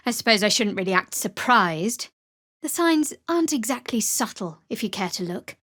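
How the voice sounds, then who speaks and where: Wry, guarded young fantasy cleric, high-voiced. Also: female voice, fairly bright tone, no room sound, heavily acted. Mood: teasing, calm. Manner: dry, measured, wry delivery. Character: guarded, sardonic young female cleric of a dark goddess. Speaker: video game companion character. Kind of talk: scripted fantasy game voice acting.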